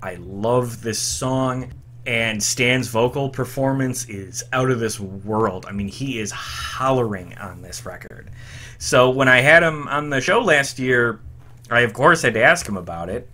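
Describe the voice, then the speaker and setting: A man in his thirties hosts a retro music podcast from his home room. His tone is bright and forceful, and he talks like an eager, emphatic fan. He sits at a desk mic. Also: medium pitch, lively intonation, a little slow. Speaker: podcast host. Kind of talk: enthusiastic retro music commentary.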